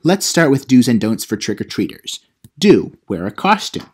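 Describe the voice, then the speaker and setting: A man's voice: medium pitch, sing-song, normal speed, a little mellow, breathy edge. A man playing a cheeky teenage vlogger narrator. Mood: smug, instructive. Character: cheeky self-important teenage vlogger narrator, mock-instructional. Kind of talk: comedic how-to vlog monologue.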